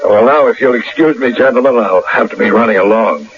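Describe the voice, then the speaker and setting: A man plays a genial stranger with a faintly sinister slyness in a radio play. His voice is on the low side, courtly and deliberate. The sound is dull and lo-fi, with faint music behind. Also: close to an everyday voice. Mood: genial, sly. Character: genial, sly red-faced lawyer stranger, faintly sinister. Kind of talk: old-time radio drama acting.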